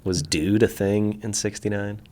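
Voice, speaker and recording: A man co-hosting a casual podcast, thinking out loud and laughing easily as he guesses trivia answers. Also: lowish voice, level tone. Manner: easygoing, thinks aloud, quick to laugh. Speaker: podcast co-host. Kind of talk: casual chat.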